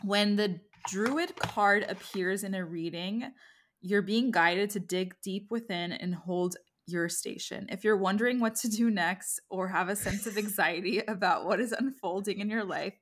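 A woman's voice: medium pitch, a little slow. A woman co-hosting a podcast, chatting informally and reading tarot cards in an encouraging, slangy way. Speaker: podcast co-host. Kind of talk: casual chat with tarot card readings.

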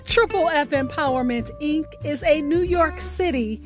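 A woman radio host reading a scripted outro about her featured guest, with deliberate, measured enunciation. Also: high-pitched. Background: guitar music.

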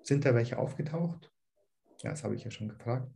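A man teaching dharma to an online group, calm and searching, with a slight cold. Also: mid-range voice, normal speed, creaky. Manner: calm, searching, with many "ähm" restarts.

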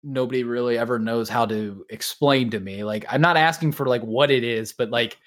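A man's voice: medium pitch, fairly quick, fairly bright tone. A man hosting a podcast, chatting casually and prompting his guest in an informal, encouraging way. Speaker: podcast host.

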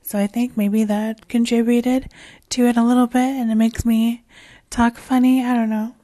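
A woman hosting her own podcast in a casual, rambling solo chat, her voice raspy and hoarse as she loses it, with plenty of fillers and restarts. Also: on the high side, little pitch movement, moderate pace, mellow, no room sound, clean studio sound. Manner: swears casually. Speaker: podcast host.

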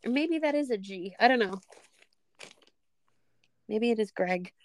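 A woman co-hosting a podcast over a remote call, chatting casually and adding quick joking asides. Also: fairly high pitch, lively intonation, dry recording. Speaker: podcast co-host. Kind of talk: casual chat.

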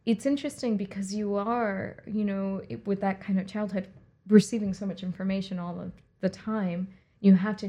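A woman's voice: medium pitch, mellow. A woman who grew up in India and Puerto Rico, with Hindi as her first language, speaking as a guest in an interview in a reflective, rambling way with many fillers. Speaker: guest, artist and director. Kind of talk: conversational interview.

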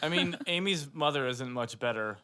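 Bright-voiced, jokey man in podcast chat. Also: mid-range voice, expressive, moderate pace, clean tone. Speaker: podcast co-host. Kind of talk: casual chat.

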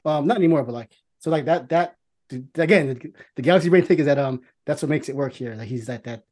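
A man in a casual movie discussion, talking in a rambling, halting way with plenty of swearing. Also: a little high, a little mellow. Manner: rambling, halting, profanity-laced. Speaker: podcast co-host.

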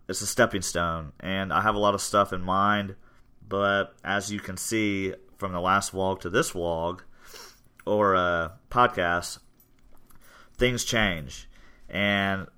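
A man's voice: sits low, clear, resonant tone. A man, chatting casually on a podcast about his gym, rambling with lots of fillers. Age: 20s. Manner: relaxed, rambling with many fillers. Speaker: gym owner and podcast host.

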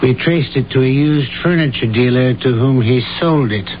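An actor playing a grave, protective lawyer who speaks formally and tactfully in an old-time radio drama. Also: male voice, mid-range voice, moderate pace, lightly acted. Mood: grave, sympathetic. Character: courteous, grave, protective male attorney. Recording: muffled, noisy old radio broadcast.

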